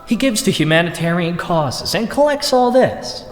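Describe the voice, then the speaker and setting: A man's voice: sits high, lively intonation, normal speed, soft background music, pitched above the performer's own voice. A man voicing a brash, pushy male newspaper reporter in an audio drama.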